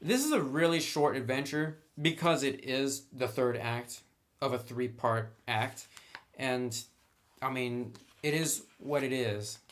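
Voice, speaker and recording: A man's voice: medium pitch, slow. A man giving a casual, opinionated review as a tabletop game reviewer, talking in an informal, conversational way.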